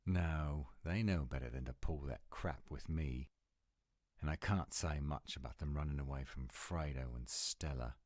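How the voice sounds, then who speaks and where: Male actor as gruff British werewolf, audio roleplay. Very low register, measured pace, curt and exasperated. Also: a little mellow, no echo, clean studio sound. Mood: stern, explaining.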